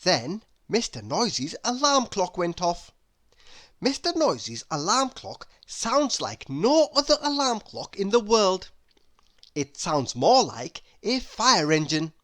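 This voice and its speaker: A storybook narrator reading a children's tale aloud in an animated, expressive way. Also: mid-range voice, takes it slowly. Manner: animated, expressive, with emphatic sound words. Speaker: storybook reader.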